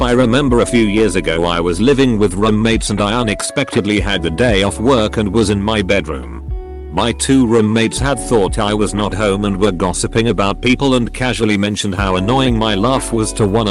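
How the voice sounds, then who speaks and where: A man with a somewhat low voice reads online posts aloud, one after another. His delivery stays steady and even throughout. Clear background music plays beneath the narration. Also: moderate pace. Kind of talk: reading forum posts aloud.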